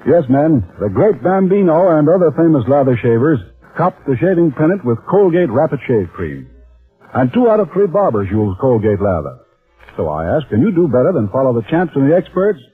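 Husky, animated 1940s radio pitchman. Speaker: commercial announcer. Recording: tinny, muffled vintage radio broadcast.

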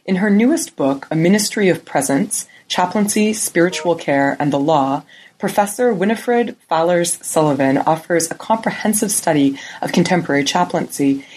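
A woman's voice: sits low, a little fast, a little mellow, slight background noise, poor audio. A woman co-hosting an academic podcast, reading a scripted introduction and then chatting with her guest. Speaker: podcast co-host. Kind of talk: podcast interview.

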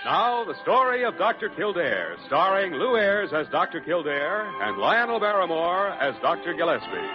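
A man's voice: high voice, big pitch swings, moderate pace, hardly any pauses. A male announcer delivering a dramatic scripted introduction in old-time radio style. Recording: dull old radio recording. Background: loud music.

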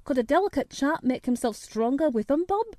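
A woman voicing a friendly rustic boy with a dialect, gentle and encouraging in a storybook reading. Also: high voice, expressive, brisk, no echo, studio quality, pitched above the performer's own voice. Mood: good-natured recounting. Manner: plain dialect speech, encouraging.

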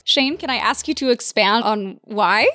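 A woman's voice: fairly high pitch, moderate pace, pushed and bright, no echo. A woman hosting a dating podcast, upbeat and playful, with faint music behind. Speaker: host.

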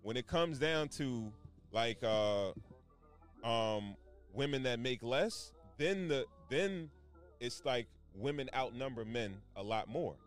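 A man's voice: medium pitch, animated, slow, clean tone. Blunt, profane man pushing back. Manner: blunt, profane, dismissive pushback. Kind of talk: casual podcast debate.

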